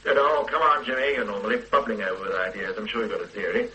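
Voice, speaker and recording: An actor playing a stern middle-aged police boss who lectures and mocks a junior officer, in radio drama style. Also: male voice, on the low side, quick pace, sharp tone, slight background noise, low-quality recording. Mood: lecturing. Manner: lecturing, brusque, mocking. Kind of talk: 1980s radio drama acting.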